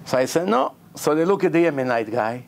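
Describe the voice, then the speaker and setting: A man with an Israeli accent, a rabbi giving a sermon in lively, broken English, acting out the people in his stories. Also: medium pitch, quick pace. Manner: animated, acts out quotes, non-native English phrasing. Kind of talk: storytelling sermon.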